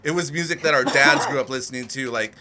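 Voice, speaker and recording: A man's voice: mid-range voice, quick pace, noisy background. A man around forty co-hosting a comedy podcast, teasing and joking in casual banter. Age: 40s. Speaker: podcast co-host.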